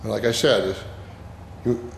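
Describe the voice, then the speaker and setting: A man's voice: fairly low pitch, fairly even intonation, moderate pace, vocal fry. A man giving an informal, plain-spoken, step-by-step hands-on demonstration as an instructor. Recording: very echoey reverberant room, background noise.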